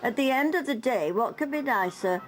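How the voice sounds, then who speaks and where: A woman's voice: fairly high pitch, expressive, normal speed, music in the background. A woman narrating a local newsreel from a script, with light wry humour.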